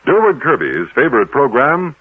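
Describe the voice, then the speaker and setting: A man's voice: mid-range voice, big pitch swings, normal speed, narrow, tinny audio. An American man, a radio announcer reading scripted credits in 1960s style, on a thin old recording. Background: music.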